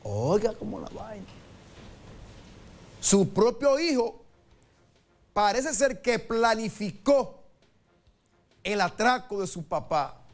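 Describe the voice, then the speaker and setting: A man hosting a news show, introducing a report with an emphatic, drawn-out delivery. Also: sits high, big pitch swings. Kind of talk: presenting a news segment. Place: virtual news studio, indoors.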